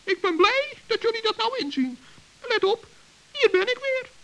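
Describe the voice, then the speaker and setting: A tiny, childlike old gnome in a children's radio play. The voice is extremely high, creaky and bright, chattering with self-importance and excitable pride. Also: male voice, moderate pace, heavily acted, rough recording. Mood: earnest. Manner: chatty, self-important, stammers when flustered. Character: small excitable proud forest gnome, elderly and childlike.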